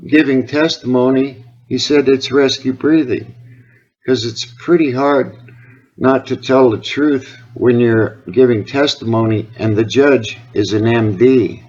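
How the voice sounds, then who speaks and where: A Canadian man talks insistently in a phone conversation. His delivery is earnest and rambling, at a middling pitch, and the recording is somewhat dull and lo-fi. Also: fairly even intonation, a little slow, darkish tone. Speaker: caller, health awareness advocate.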